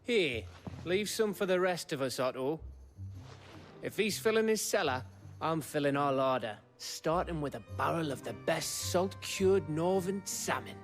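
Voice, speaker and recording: Down-to-earth companion, blunt, very animated intonation, video game drama, soft music behind. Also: mid-range voice, a little slow. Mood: bantering. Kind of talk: naturalistic video game acting.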